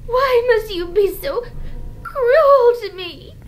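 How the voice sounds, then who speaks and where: A woman's voice: very high voice, lively intonation, a little hiss or hum, exaggerated voice. A woman voicing an anguished female pony, pleading and desperate in a melodramatic fan fiction reading. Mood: tearful hurt. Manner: drawn-out, pleading, tearful delivery.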